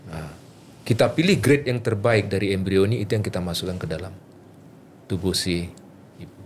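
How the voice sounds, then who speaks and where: A man's voice: sits low, normal speed, a little hiss or hum. A man speaking as a guest doctor, explaining medical matters informally, with repeated points and rhetorical questions. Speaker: doctor, fertility specialist guest.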